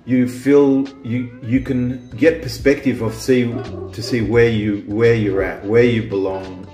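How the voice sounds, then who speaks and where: A man's voice: mid-range voice, fairly even intonation, measured pace, warm tone, reverberant, faint music behind. A man who grew up in Australia, hosting a casual chat about small-town life, rambling with lots of restarts and ums. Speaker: vlog host.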